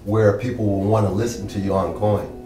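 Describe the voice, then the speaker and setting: A man's voice: fairly low pitch, fairly even intonation, brisk, mellow. A man chatting in a small bar, asking questions and repeating affirmations. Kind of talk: casual chat. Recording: distant, echoey bar with faint music.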